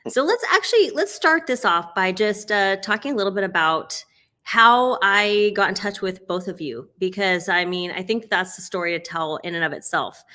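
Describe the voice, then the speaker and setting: A woman leads a casual interview with a bright, forceful voice. She talks upbeat, laughs often and fills pauses with "uh" and "um". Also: medium pitch, animated. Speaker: host.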